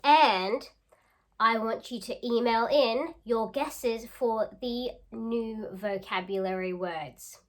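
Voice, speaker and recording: A woman reads a storybook as a teacher would for young children. Her voice is fairly high and she takes her time, reading expressively and stopping to ask the listeners questions. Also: takes it slowly. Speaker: teacher reading a picture book aloud. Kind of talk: children's read-aloud with questions.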